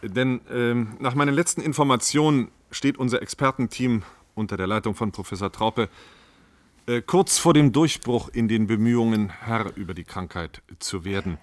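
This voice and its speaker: An actor playing a self-assured government official in a radio play, placating in formal, measured bureaucratic language. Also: male voice, mid-range voice, no echo, natural voice. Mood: reassuring, evasive. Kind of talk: radio play studio discussion.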